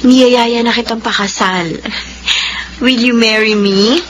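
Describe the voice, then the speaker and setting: A woman's voice: fairly high pitch, fairly even intonation, takes it slowly, a little hiss or hum, poor audio. An actress playing a devoted, determined woman of thirty-three in a radio drama, earnest and emotive. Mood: sweet, eager. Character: devoted, determined 33-year-old woman in love. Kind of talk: Filipino radio drama acting.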